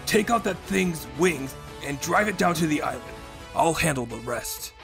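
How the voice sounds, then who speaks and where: A man voicing a tough, decisive echidna warrior in a comic dub, with a creaky voice. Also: a little high, expressive, moderate pace, over music. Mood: commanding. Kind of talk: comic dub hero acting.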